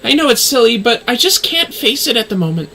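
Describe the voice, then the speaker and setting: A man's voice: extremely high pitch, very animated, a little fast, vocal fry, bright, forceful tone, a little hiss or hum, pitched above the performer's own voice, exaggerated voice. A man voicing a grieving, timid young woman in a video game, with a delicate, hesitant, apologetic delivery. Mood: downcast, hesitant.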